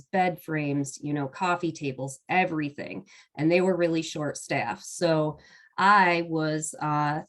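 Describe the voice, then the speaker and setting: Congested young woman telling a story. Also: sits low. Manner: chatty, laughs often, frequent ums. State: congested from a cold. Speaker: webinar panelist. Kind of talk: personal story told on a panel.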